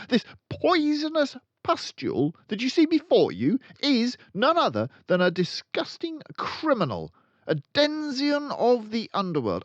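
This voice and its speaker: Man putting on a booming, shrieking tyrant headmistress. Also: no room sound, highs cut off, heavily acted. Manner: barking, booming, screaming insults. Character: tyrannical, bellowing female headmistress, brutish bully.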